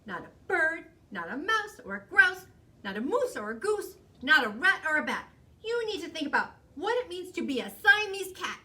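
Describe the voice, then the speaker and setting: A woman's voice: fairly high pitch, sing-song, measured pace, few pauses, fairly bright tone. A woman voicing a stern, exasperated mother Siamese cat in a storybook, lecturing emphatically. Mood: scolding. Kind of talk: animated storybook read-aloud. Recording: distant mic, noisy room.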